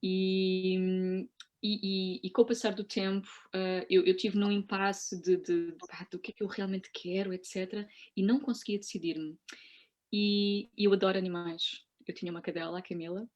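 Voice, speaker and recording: A woman's voice: mid-range voice, level tone, normal speed, darkish tone, muffled recording. A woman in her thirties with a European Portuguese accent, chatting as an interview guest over a video call, with many fillers and restarts. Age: 30s. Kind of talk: casual interview chat.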